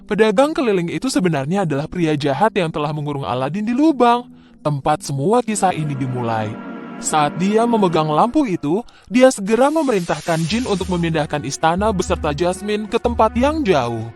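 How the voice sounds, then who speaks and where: High-pitched man narrating a fairy tale. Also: sing-song, normal speed, over music. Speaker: storyteller.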